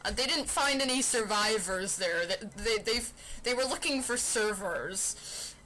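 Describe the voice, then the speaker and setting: High-voiced woman with lively, swooping delivery. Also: measured pace. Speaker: amateur reader of fan fiction. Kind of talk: mock dramatic reading. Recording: noisy computer fan behind voice. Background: computer fan noise.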